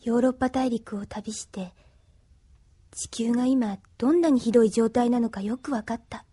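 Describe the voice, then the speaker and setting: A woman's voice: on the high side, normal speed, dark tone, husky. A woman playing a tender, devoted young woman in love in an anime audio drama, with emotive delivery. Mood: tender, wistful. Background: background music.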